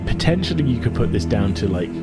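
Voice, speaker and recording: A man with a fairly low voice runs a tabletop game over prominent music. He talks in a loose, conversational way, feeling his way through the scene as he narrates it. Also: sing-song, fast. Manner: hesitant, conversational, thinking aloud. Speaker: game master. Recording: music playing behind the voice.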